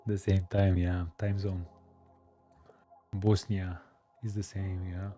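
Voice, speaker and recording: A man hosting a live stream, chatting casually with viewers, hesitant with many pauses and fillers. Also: low voice, little pitch movement, warm tone. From Romania. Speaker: live-streaming coding host. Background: faint music.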